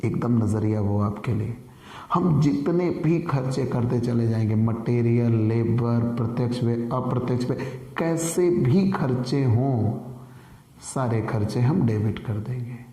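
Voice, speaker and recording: A man with a sore, hoarse throat, teaching an online commerce lecture in an earnest manner and calling viewers dear friends. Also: medium pitch, unhurried, warm tone, echoey room, in a large hall. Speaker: commerce tutor.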